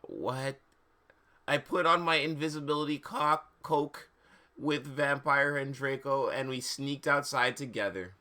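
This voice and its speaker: A man's voice: mid-range voice, unhurried, bright, forceful tone. A man streaming a live dramatic reading of fan fiction, with mock seriousness, stumbles over words and amused asides.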